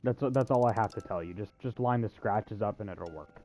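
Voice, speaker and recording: A man's voice: on the low side, fairly quick, a little mellow. A man giving a casual video game walkthrough in an offhand way, with wry asides. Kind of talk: casual gameplay walkthrough commentary.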